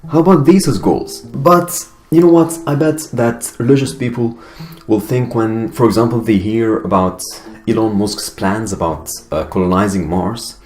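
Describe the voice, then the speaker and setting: Young adult male, Arabic accent, low-pitched, deliberate vlogger delivery, roomy sound, faint guitar bed. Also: darkish tone. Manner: earnest, deliberate, with pauses and fillers. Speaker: YouTuber. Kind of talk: personal video monologue. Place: Finland. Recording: echoey room with guitar music behind.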